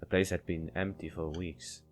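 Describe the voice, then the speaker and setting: A man's voice: sits low, little pitch movement, normal speed. A man reading visual novel narration aloud, stumbling over words now and then. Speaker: game let's player reading aloud. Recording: faint background music.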